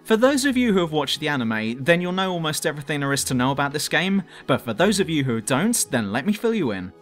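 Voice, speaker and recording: A man's voice: a little high, sing-song, quick pace, a bit bright, soft background music. A man reading a scripted countdown review as host, with a cheeky, irreverent manner. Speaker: host and reviewer of a top ten list show.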